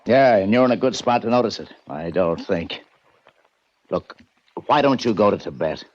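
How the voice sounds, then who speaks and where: A brusque police lieutenant with a low voice and an unimpressed, needling sarcasm. It is 1940s radio crime acting, heard on a dull old recording. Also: male voice, animated, moderate pace, a little mellow. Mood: sarcastic, brusque. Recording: muffled 1940s radio transcription.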